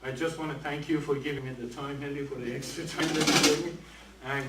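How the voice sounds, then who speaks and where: A man's voice: mid-range voice, steady tone, fast talker. Guy making an announcement at an event, echoey and far from the mic. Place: museum event room with audience. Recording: echoey room from far off, faint noise.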